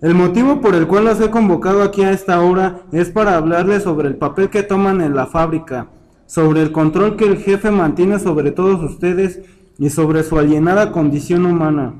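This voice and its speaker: A man's voice: high voice, normal speed, a little mellow, lightly acted, poor audio. A man playing a serious young worker who addresses his fellow labourers in a solemn, accusing, oratorical way in an audio story. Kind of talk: dramatized speech in an amateur audio story.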